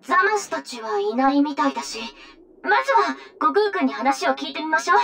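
A woman playing a bossy, expressive young female guardian in an anime game. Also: high-pitched, fairly quick, creaky, crowd noise. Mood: curious, flustered. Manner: chatty, expressive, scolding. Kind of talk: Japanese anime game voice acting. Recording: distant sound with music behind.

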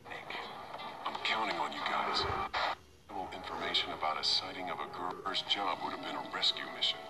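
An actor playing a serious, courteous agent and former cop in a video game, understated and matter-of-fact. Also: male voice, sits low, a little fast, lightly acted. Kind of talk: video game cutscene acting. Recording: game audio under loud music.

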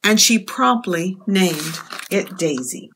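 A woman in her fifties reading aloud at storytime for young children, expressive and deliberate, in a small room. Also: medium pitch, a little hiss or hum.

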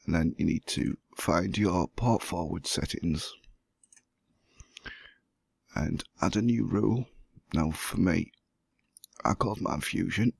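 A hoarse man who has the flu, walking through a computer setup step by step as the host of a gaming channel. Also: deep voice, slow, long pauses, no room sound, studio quality. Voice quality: gravelly, husky. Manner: plain step-by-step explaining. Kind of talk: screen-recorded tutorial.

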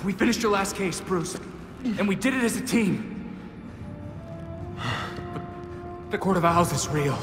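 An actor playing a young, loyal, defiant game hero who talks in a wry, emotional way. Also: male voice, high voice, lively intonation, takes it slowly, long pauses, heavily acted. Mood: relieved, urgent. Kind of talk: dramatic video game cutscene acting. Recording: echoey hall under loud music.

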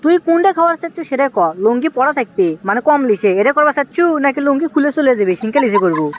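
A man voicing an excitable, scheming young village man in a comic cartoon, with a Bogura accent from northern Bangladesh and an exclamatory, wheedling manner. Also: very high voice, very fast. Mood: boastful. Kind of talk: comic cartoon dialogue in rural dialect.

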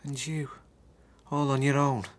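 A man acting a scheming, smooth-talking estranged husband in a naturalistic radio drama. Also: medium pitch, level tone, a little slow, close to an everyday voice. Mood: pleading, soothing.

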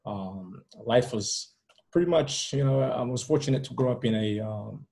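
A man's voice: medium pitch, moderate pace, vocal fry, darkish tone. A man from Rwanda talking casually as an interview guest on a video call, often repeating words and leaning on "you know". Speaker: podcast guest. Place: indoor room at home, video call.

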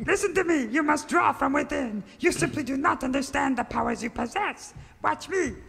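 A tiny, wise old-style creature mentor speaks in a very high, swooping, gravelly little voice. The delivery is solemn and cryptic with chuckles, like a parody radio serial, in a live hall. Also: male voice, animated, moderate pace, fairly bright tone, exaggerated voice. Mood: amused. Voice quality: creaky, high-pitched. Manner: solemn, cryptic sage pronouncements. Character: two-foot-tall furry little mystic cowboy mentor creature, wise and chuckling. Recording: live hall reverb, audience noise.

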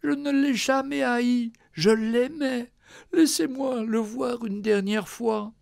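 A sobbing grown daughter, as a man puts her on, pleading in a high, strained voice that leaps far above his own. Her pitch swings widely from line to line, and the sound is close and dry. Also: expressive, slow, vocal fry, no room sound, exaggerated voice. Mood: desperate.

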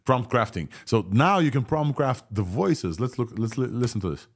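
A man in his thirties with a Russian and Israeli accent, hosting a live tech reaction video in an excited, rambling way. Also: mid-range voice, normal speed. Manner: excited, rambling, laughs often. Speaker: tech show host. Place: home room, indoors.

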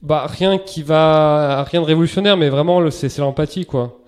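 A relaxed man in his thirties tells his story as a podcast guest, full of slang and self-corrections. His voice sits a little high for a man yet sounds mellow and dark. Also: moderate pace, clear, resonant tone. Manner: relaxed, chatty, lots of restarts and slang. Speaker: interview guest, entrepreneur. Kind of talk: casual podcast interview.

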